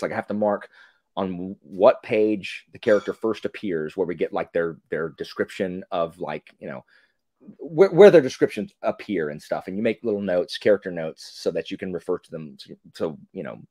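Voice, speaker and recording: Man, forties, low pitch, dry close sound, rambling casual chat. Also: dry recording. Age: 40s. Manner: animated, rambling, self-interrupting. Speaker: podcast guest. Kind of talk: casual video-call chat.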